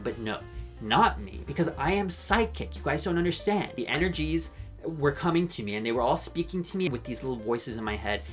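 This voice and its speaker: A young man in his twenties with an Irish accent, chatting in a rambling, jokey, self-mocking way as he comments on a show for his video channel. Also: fairly high pitch. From Ireland. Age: 20s. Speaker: YouTube commentator. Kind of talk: casual vlog commentary.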